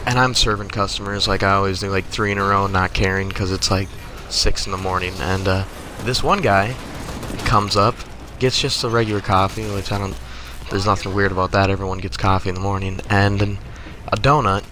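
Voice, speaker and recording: Young American male gaming creator, lowish voice, casual sweary storytelling with clear background noise. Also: steady tone, fairly quick. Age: young adult. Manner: profane, exasperated, conversational. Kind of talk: casual comic storytelling over gameplay.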